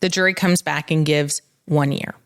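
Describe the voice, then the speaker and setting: American woman, slightly low pitch, casual podcast chat, matter-of-fact, expert explainer, step-by-step examples. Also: steady tone, moderate pace. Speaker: podcast co-host, legal expert.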